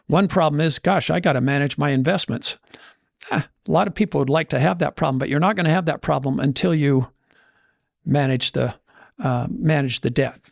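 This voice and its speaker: A man's voice: on the high side, fairly quick, vocal fry, dry recording, clean studio sound. A man speaking conversationally as a podcast host and financial coach, motivational in style, often voicing imagined inner dialogue to make his points. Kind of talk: conversational motivational money talk.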